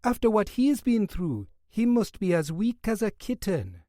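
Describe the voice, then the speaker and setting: Young female nurse, soft and coaxing; a man reading her lines. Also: sits high, big pitch swings, measured pace, dry recording, clean studio sound, voice pushed up. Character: soft-spoken young woman, a hospital staff nurse.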